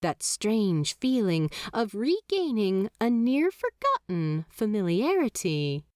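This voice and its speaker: A woman narrating a fanfiction audiobook in an expressive way, laughing often. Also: medium pitch, slow, dry recording, clean studio sound.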